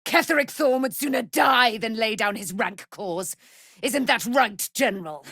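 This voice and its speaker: An actress playing a proud, wrathful immortal warrior paladin in a fantasy video game, declaiming in grand archaic, formal language. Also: female voice, fairly high pitch, unhurried, a bit bright, no room sound, studio quality. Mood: proud, commanding.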